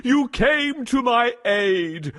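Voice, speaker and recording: A man voicing a proud and mighty male lion, king of the beasts, speaking slowly and grandly. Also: animated, a bit bright, full character voice. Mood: grateful. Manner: booming, slow and grand.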